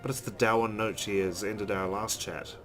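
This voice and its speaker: A man hosting a let's play, reading a visual novel's narration aloud in an expressive storytelling way. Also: fairly low pitch, normal speed. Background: background music with guitar.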